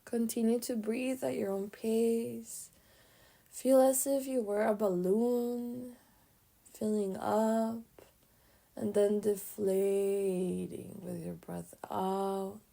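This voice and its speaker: A woman leading a guided meditation, speaking gently in short phrases with pauses between them and soft, breathy endings. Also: mid-range voice, steady tone.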